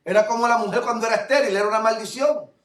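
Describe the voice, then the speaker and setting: High-voiced Puerto Rican pastor preaching. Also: male voice, rapid-fire. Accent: Puerto Rican Spanish. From Puerto Rico. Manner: fervent preaching, swings between loud declarations and low asides. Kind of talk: sermon. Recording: echoey room, voice from far off mic.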